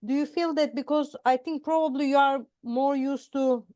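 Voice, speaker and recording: A woman's voice: high-pitched, steady tone, moderate pace, warm tone, no room sound. A woman hosting a casual interview chat, feeling her way through roundabout questions padded with "I mean, like.". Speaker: interview host. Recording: close dry mic, dull lo-fi sound.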